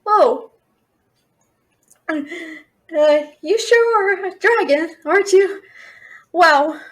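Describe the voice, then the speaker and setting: A woman's voice: very high voice, heavily acted. A young woman playing a cheeky, frightened thief who stammers and bluffs in a fantasy audio roleplay. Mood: nervous, resigned. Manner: nervous, stammering, fast-talking bluffer. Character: cheeky, scared young female thief, talking her way out.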